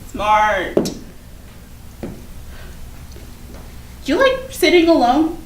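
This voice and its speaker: A woman's voice: high-pitched, wildly swooping pitch, slow, exaggerated voice. A woman playing a popular, defensive teenage schoolgirl in a staged play reading, with chatty, casual, hedging delivery. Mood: breezy, friendly. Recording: echoey room from far off, noisy.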